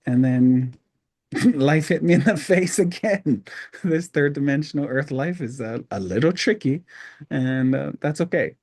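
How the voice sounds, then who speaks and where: A man's voice: a little high, measured pace, breathy edge, no room sound. Rambling man from Hawaii, dry sound. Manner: relaxed, rambling, many fillers. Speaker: podcast guest. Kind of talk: casual storytelling interview.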